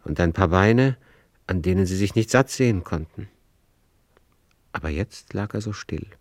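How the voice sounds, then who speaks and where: Male literary narrator for radio drama: low voice, measured and grave delivery, close and dry sound. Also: expressive, darkish tone, dry recording.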